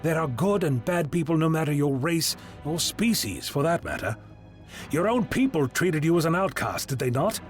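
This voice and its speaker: A man's voice: a little high, sing-song, moderate pace, soft background music. A man acting an earnest, kindly time-travelling adventurer in an amateur audio drama. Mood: gentle, earnest.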